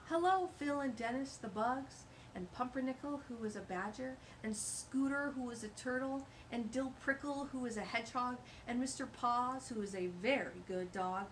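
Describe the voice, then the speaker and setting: A woman's voice: fairly high pitch, normal speed, hardly any pauses, slight background noise, lightly acted, low-quality recording. A woman reading as a sweet male bunny in a children's storybook, earnest and tender. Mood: cheerful.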